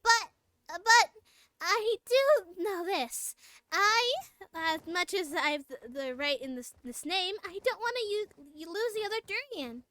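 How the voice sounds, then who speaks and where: A woman voicing a small, gentle young storybook dragon in a game, earnest and hesitant, stumbling over words. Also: very high voice, animated, measured pace, no room sound, full character voice. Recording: music playing behind the voice.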